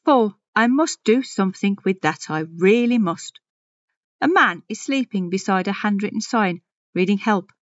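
A woman's voice: mid-range voice, no echo, highs cut off. A woman playing a fussy, haughty, anxious older woman in a dramatic audio monologue, with a pompous, self-important way of repeating herself. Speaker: audio story reader. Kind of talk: dramatic first-person monologue reading.

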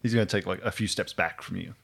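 A man's voice: low-pitched, fast, vocal fry, husky. A man improvising casual roleplay and narration as a player in a tabletop game podcast, music behind him. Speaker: player in an actual-play role-playing podcast.

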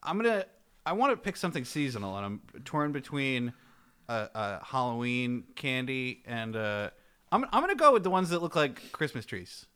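A man chatting casually and jokily as a podcast co-host, rambling and halting as he goes. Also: medium pitch, sing-song, sharp tone, clean tone. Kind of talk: casual comic chat among friends.

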